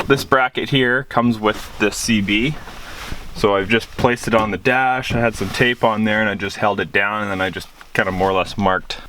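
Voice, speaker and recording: A man's voice: fairly low pitch, a bit bright, a little hiss or hum. A man giving a casual, rambling hands-on walkthrough as a vlogger, explaining things informally with plenty of slang. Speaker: vlogger showing his truck radio install. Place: in and around a parked truck.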